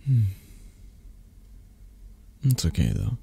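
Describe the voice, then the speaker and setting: Man acting as slow, velvety, tender mafia boss. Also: lowish voice, wildly swooping pitch, gravelly, slightly rough, dry recording, exaggerated voice. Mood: soothing, possessive. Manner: slow, hushed, halting, soothing murmur. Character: soft possessive male mafia boss, tenderly doting lover. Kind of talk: intimate ASMR roleplay.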